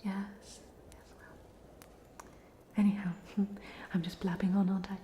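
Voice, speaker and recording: A woman's voice: medium pitch, little pitch movement, measured pace, a little hiss or hum, lightly acted. A woman playing a polite, chatty ghost of a drowned student in a whispered, hesitant ASMR roleplay. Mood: gentle, friendly. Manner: whispered, hesitant, gently rambling.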